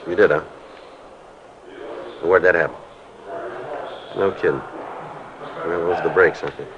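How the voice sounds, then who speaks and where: An American actor playing a stoic, businesslike police sergeant in a 1950s radio drama, terse and matter-of-fact. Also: male voice, sits low, fairly even intonation, unhurried, a crowd in the background. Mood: dry, low-key. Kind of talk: 1950s radio acting, clipped procedural narration. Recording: muffled old radio recording with music.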